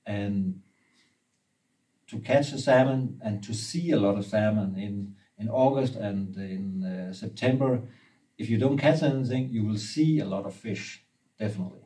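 A fishing guide in his forties whose first language is Danish, explaining steadily with pauses, recorded indoors from a few feet away. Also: on the low side, dark tone, distant mic, poor audio. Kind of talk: casual interview. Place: indoor room with brick wall and river map.

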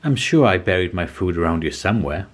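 A man's voice: low voice, animated, brisk. Man reading as an eager young storybook squirrel. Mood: puzzled. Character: young searching squirrel, male, eager and puzzled.

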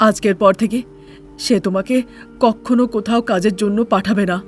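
A woman voicing a gentle, concerned young husband in a cartoon tale. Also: medium pitch, steady tone, moderate pace, faint music behind, lightly acted. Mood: consoling. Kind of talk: cartoon fairy-tale character acting.

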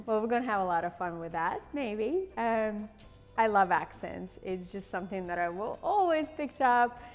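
A woman's voice: mid-range voice, animated, moderate pace, long reverb tail, faint music behind. A woman in her forties hosting a cooking demonstration in a large home kitchen, chatty and bubbly, with a playful put-on Southern accent. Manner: chatty, bubbly, laughs often. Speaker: cooking show host.

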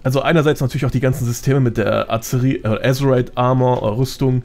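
A man's voice: medium pitch, fairly even intonation, quick pace, darkish tone. A man talking casually as a podcast guest, colloquial and sprinkled with English gaming slang.

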